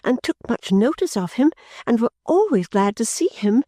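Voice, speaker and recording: A gentle, timid young woman who pleads in a soft, earnest, raised voice, as a woman reader puts her on. The tone is warm and mellow, read close and dry. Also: on the high side, very animated, normal speed, no echo, voice pushed up. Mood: pleading.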